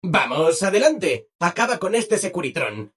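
A man's voice: high-pitched, big pitch swings, measured pace, fairly bright tone, husky, exaggerated voice. A man with a Castilian Spanish accent voicing a relentlessly cheerful, eager-to-please robot assistant in a video game, with bubbly, exaggerated enthusiasm. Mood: chipper, apologetic. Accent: Castilian Spanish, from Spain. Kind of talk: dubbed video game dialogue.

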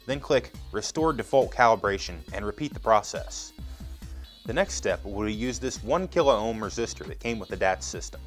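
A man in his thirties giving scripted, methodical step-by-step instructions as a product demonstrator. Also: mid-range voice. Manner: methodical, explanatory.

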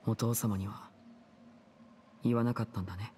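A man's voice: fairly low pitch, fairly even intonation, dark tone, a little hiss or hum, natural voice. Composed, probing young man, acted by a man. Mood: serious. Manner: measured, serious, questioning. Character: composed, probing teenage older brother, a young magician. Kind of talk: Japanese anime-style game voice acting. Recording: studio voice over game music. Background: background music.

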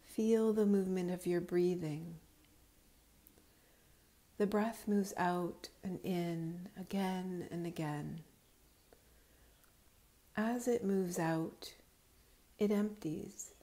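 A woman guiding a relaxation meditation in a calm, soothing, unhurried manner, her voice soft with breathy edges. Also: on the low side. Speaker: yoga nidra guide.

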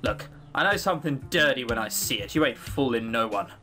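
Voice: medium pitch, expressive, a little fast, bright, forceful tone, faint music behind, pitched below the performer's own voice. A let's player voicing a tired, suspicious young traveller boy in a visual novel game, blunt and folksy. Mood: dismissive.

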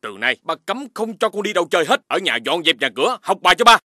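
A man's voice: high-pitched, sing-song, fast talker, bright, forceful tone, no room sound, clean studio sound, full character voice. A man voicing a firm, protective father dog in a cartoon, with a Southern Vietnamese accent. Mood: stern. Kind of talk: cartoon dubbing. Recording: music behind the voice.

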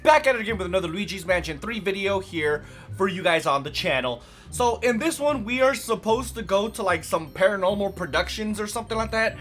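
A young man with a hoarse, raspy voice chatting casually through a let's play, rambling with lots of fillers. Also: sits high, animated, fairly quick, a bit bright, faint music behind. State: hoarse from yelling. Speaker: gaming channel host.

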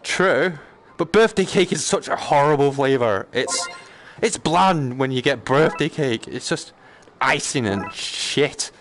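Animated, slangy man streaming a game. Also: medium pitch, unhurried. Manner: slangy, sweary, joking. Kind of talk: casual live-stream gameplay chat. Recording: clear background noise.

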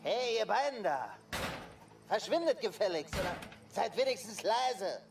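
A woman's voice: medium pitch, sing-song, measured pace, background noise. A woman playing an irritable, flustered, exasperated neighbour in a German radio crime drama.

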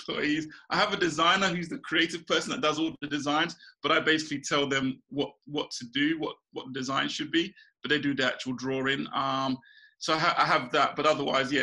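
A bright, gravelly man from Ghana talks on a video call from a small room at home. His voice sits a little high for a man. He is relaxed and chatty, laughs often and restarts his sentences as he goes. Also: low-quality recording. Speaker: guest, small business owner. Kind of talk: casual interview over video call. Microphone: video call webcam.

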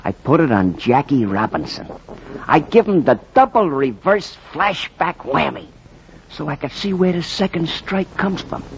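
An actor playing an excitable Brooklyn fan spinning a tall tale in slangy 1940s radio style, with a Brooklyn accent. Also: male voice, on the high side. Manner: colorful slangy working-class storyteller. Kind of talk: 1940s radio acting, verse-like storytelling. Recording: muffled, faintly noisy 1940s radio recording.

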